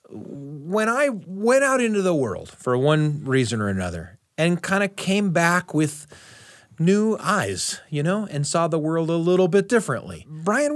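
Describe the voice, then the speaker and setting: Animated man hosting a radio show. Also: fairly high pitch, dry recording, clean studio sound. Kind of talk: hosting a storytelling show with scripted links and studio chat. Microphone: close studio mic.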